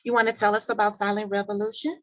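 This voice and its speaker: A woman moderating a film panel, bright and encouraging as she hands over to speakers. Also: medium pitch, level tone, fast.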